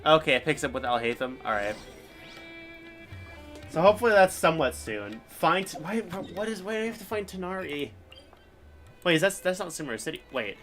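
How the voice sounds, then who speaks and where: A man casually commentating as a live game streamer, rambling and halting, sounding mildly bored. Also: on the high side, very animated, fairly bright tone. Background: video game music and dialogue.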